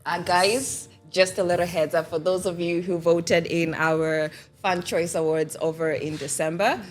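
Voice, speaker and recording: A woman's voice: on the low side, steady tone, a little slow. A young woman hosting a casual interview, chatty and teasing, with faint music in the background. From Tanzania. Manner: chatty, teasing, laughs easily. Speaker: podcast host.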